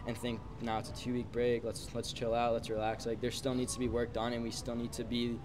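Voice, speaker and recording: A man in his twenties, a pro soccer player, answering reporters quickly after a match, often repeating words as he goes. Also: mid-range voice, completely flat delivery. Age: 20s. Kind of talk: post-game media scrum. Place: busy stadium mixed zone, indoors. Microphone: reporters' phones and handheld mics held close. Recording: loud background chatter noise.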